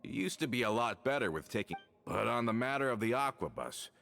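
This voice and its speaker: A man's voice: medium pitch, expressive, fairly quick, creaky, fairly bright tone, slight background noise. An actor playing a loyal, easygoing veteran henchman in an anime-style video game, casual and nostalgic in manner. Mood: explanatory. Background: background music.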